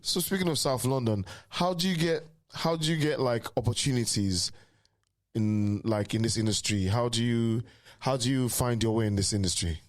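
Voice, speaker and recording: A man's voice: medium pitch, moderate pace. A man born in the UK hosting a casual interview, asking loose questions with repeated fillers. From United Kingdom. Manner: relaxed, filler-heavy questions. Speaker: interviewer host.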